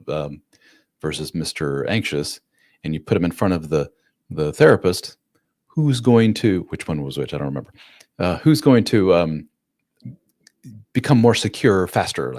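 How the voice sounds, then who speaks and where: Deep-voiced man, casual coach. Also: darkish tone, no echo, studio quality. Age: 40s. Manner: casual, plain-spoken, acts out quotes in a raised voice. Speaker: relationship coach. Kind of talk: live-stream Q&A.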